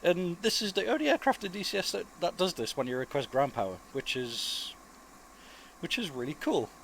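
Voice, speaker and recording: A man, chesty with a cold, giving relaxed, informal and rambling flight simulator commentary as a video host. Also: fairly high pitch, normal speed, gravelly, slight background noise. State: getting over flu, chesty cough. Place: dry close-miked voice-over indoors.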